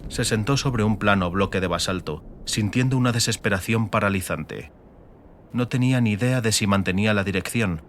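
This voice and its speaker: A man's voice: lowish voice, normal speed, slight background noise. A man reading scripted, dramatic fantasy narration as an audiobook narrator.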